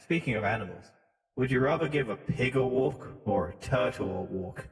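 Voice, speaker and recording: A man's voice: mid-range voice, lively intonation, normal speed. Echo-drenched man bantering on a podcast. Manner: sweary, irreverent. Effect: voice modulator. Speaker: podcast co-host. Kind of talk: casual joking banter. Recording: echoey hall-like reverb on voice.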